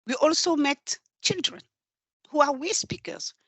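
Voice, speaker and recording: A woman with a Senegalese accent presenting in an earnest, measured, storytelling way in a prepared talk. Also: high-pitched, a bit bright, no echo. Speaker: presenter from a development organisation.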